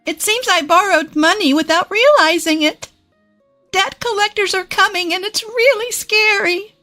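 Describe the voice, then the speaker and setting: A woman's voice: very high-pitched, moderate pace, bright, forceful tone, soft background music, pitched above the performer's own voice. A woman voicing an older, spiteful mother-in-law in a theatrical storybook manner. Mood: panicked, pleading.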